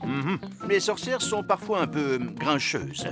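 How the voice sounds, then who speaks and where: A man's voice: on the high side, expressive, a little slow, loud music behind the voice. A man voicing a courteous, tactful fairy king in a cartoon, with a politely grand manner. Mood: calm. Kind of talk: animated series dub acting.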